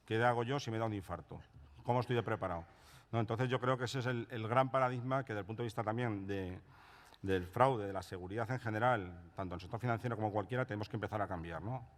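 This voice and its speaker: A man speaking as a panelist at a conference, measured and explanatory, often closing his points with a tag question. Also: fairly low pitch. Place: conference room. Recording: reverberant conference hall.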